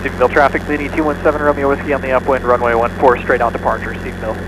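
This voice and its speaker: A male pilot making routine radio calls in rapid, standard phraseology. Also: medium pitch, level tone. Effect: aircraft radio. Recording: radio audio with engine noise.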